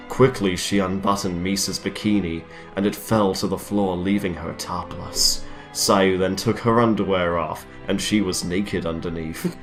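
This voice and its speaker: Male host, comic narrator, low voice, wry asides. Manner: mocking, laughing asides. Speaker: reader, host. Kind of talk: comic dramatic reading.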